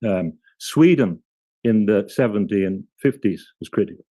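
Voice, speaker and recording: Low, mellow-voiced man explaining. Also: animated, no room sound. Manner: thoughtful, halting, frequent restarts. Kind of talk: explanatory interview talk.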